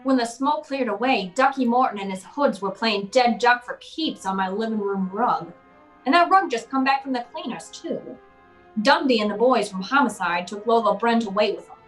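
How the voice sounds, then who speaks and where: Woman playing a wry hardboiled private eye, high-voiced. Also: lively intonation, normal speed, soft background music, lightly acted, poor audio. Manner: slangy, wry, tough-talking. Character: hardboiled wisecracking male private eye, narrating his own case. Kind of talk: old-time radio detective drama acting.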